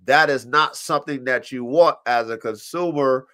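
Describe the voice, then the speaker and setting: A man's voice: mid-range voice, normal speed. A man with allergies and a stuffed-up voice, hosting a live stream podcast and talking casually about dogs, with plenty of swearing and acted-out quotes. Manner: animated, profane, acts out dogs and owners in quoted voices. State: congested from allergies. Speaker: podcast host. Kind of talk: casual chat. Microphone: live stream mic.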